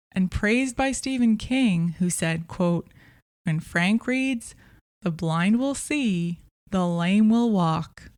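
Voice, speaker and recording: A woman presenting a scripted podcast review in a measured, clearly phrased way as the host. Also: fairly low pitch, a little mellow, dry recording, studio quality.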